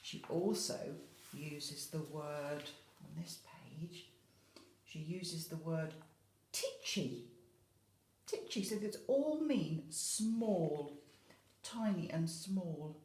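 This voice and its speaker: Echoey, lower-pitched British woman teaching young children. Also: drawn-out words, a little hiss or hum. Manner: expressive, emphatic on key words, addressing children with tag questions. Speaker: infant school teacher. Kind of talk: educational talk to young children about a picture book. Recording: roomy, echoey home-style recording.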